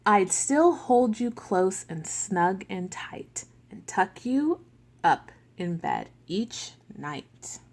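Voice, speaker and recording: A woman reading the lines of a large, loving parent figure in a picture book, slowly and gently. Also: mid-range voice, sing-song, full character voice. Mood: reassuring. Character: large loving parent creature, patient and reassuring.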